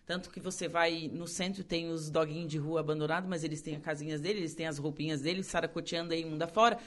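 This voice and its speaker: A woman's voice: sits low, steady tone, fast. A woman from Rio Grande do Sul hosting an interview in a chatty, affirming way. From Rio Grande do Sul, Brazil. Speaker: interviewer, host. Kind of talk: conversational interview.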